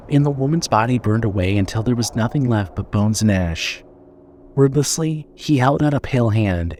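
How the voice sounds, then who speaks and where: A man's voice: medium pitch, darkish tone, a little hiss or hum. A man narrating a scripted horror story with dramatic storytelling delivery.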